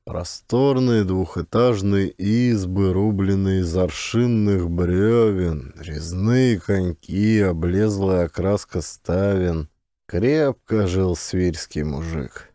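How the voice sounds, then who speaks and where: A man's voice: sits low, takes it slowly, warm tone, dry recording, clean studio sound. A man reading a literary memoir as a scripted audiobook narration.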